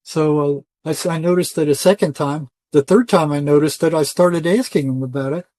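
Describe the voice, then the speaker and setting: Older male interviewee, slightly high voice, dry close sound, folksy and emphatic storyteller. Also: steady tone, fairly quick, darkish tone, no room sound. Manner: emphatic, colloquial, often quoting imagined dialogue. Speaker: interview guest, retired psychotherapist.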